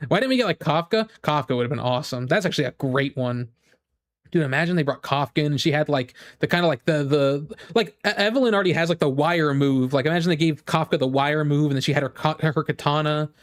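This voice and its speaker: A man with a somewhat high-pitched voice talks like a streamer chatting with viewers. He is informal and enthusiastic, thinking aloud and restarting his sentences as he goes. The sound is very dry, as if spoken close into a microphone. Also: fast, dry recording. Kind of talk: casual rambling gaming chat.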